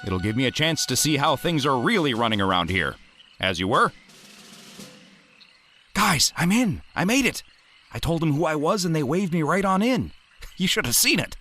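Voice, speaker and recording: An actor playing an eager, earnest ghost-hunting scientist in an audio drama, with an enthusiastic manner. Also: male voice, fairly high pitch, big pitch swings, moderate pace, long pauses, sharp tone, faint music behind. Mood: excited, confident. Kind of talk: full-cast audio drama acting.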